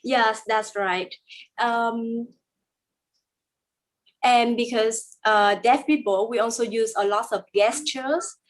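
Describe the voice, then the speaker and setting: A woman voicing a deaf guest's answers as a live interpreter, her voice sitting on the higher side. She speaks in plain, careful phrasing, pausing often and filling gaps with hesitant sounds. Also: steady tone. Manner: halting, many pauses and fillers, plain careful phrasing.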